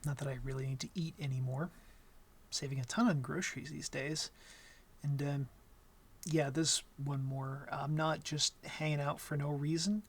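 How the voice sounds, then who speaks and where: A young man playing an awkward, gentle vampire in an intimate ASMR roleplay, talking softly and hesitantly with a wry streak. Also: a little high, fairly even intonation, normal speed, breathy edge. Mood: wry, confiding, then nervous, relieved. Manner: hesitant, soft-spoken, wry.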